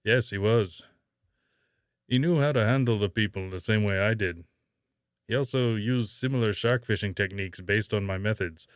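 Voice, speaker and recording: A man voicing a blunt, gruff old shark fisherman, reading out his answers emphatically. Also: lowish voice, moderate pace, no echo, clean studio sound, lightly acted. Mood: matter-of-fact. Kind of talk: imitated movie-character voice reading interview answers.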